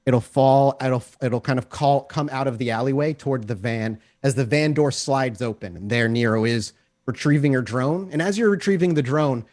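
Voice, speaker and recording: A man's voice: mid-range voice, moderate pace, darkish tone. A man running a tabletop role-playing game as game master, narrating scenes in descriptive, cinematic detail.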